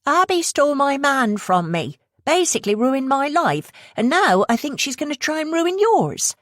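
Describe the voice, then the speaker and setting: A woman's voice: sits high, sing-song, a bit bright, dry recording, studio quality, pitched above the performer's own voice. A woman voicing a pushy, scheming woman with a heavy West Country accent who talks in a rush with a subtly mocking tone. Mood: coaxing. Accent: West Country English. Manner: subtly mocking, rushed talk.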